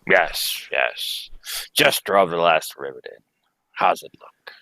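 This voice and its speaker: A man's voice: low-pitched, animated, takes it slowly, gravelly, pushed and bright, no echo, exaggerated voice. A young man playing a grave, urgent alien scientist and family man in a live radio play reading, speaking in solemn, theatrical pronouncements. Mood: eager. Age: young adult. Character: grave, urgent Kryptonian scientist, husband and father. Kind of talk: live amateur reading of old radio script.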